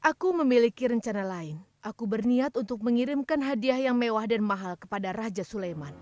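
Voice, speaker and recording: Imperious high-voiced queen played by a woman. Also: expressive, fairly quick, darkish tone, no room sound, studio quality, natural voice. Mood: commanding. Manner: regal, commanding. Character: proud, imperious, shrewd queen. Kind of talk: formal dramatic cartoon acting.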